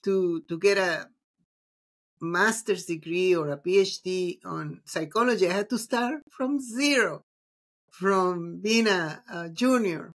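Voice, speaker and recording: A middle-aged woman from Venezuela with a fairly low voice talks over a video call from a room at home. She tells her story in a reflective, unhurried way, with plenty of ums. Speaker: guest, psychologist and mindfulness teacher. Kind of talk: casual interview conversation.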